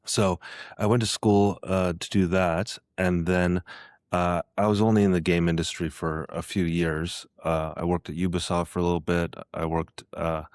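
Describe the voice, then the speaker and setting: A man's voice: sits low, little pitch movement, normal speed, darkish tone, dry recording, clean studio sound. A man talking as a podcast guest in a casual conversation, hesitant, with many fillers and restarts. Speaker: guest, iOS app developer.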